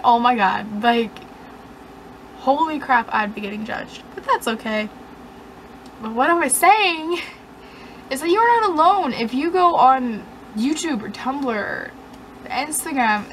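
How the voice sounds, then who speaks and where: A woman's voice: fairly high pitch, a bit bright, slight background noise, poor audio. A young woman vlogging from her bedroom, rambling in a sarcastic, self-mocking way. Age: young adult. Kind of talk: casual chat to camera. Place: dim bedroom, indoors.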